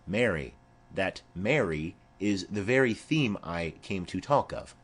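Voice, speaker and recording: A man's voice: low-pitched, expressive, measured pace, husky, full character voice. A man voicing a formal, insistent noblewoman mother in a Shakespeare play reading. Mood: persuasive. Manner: formal, imperative.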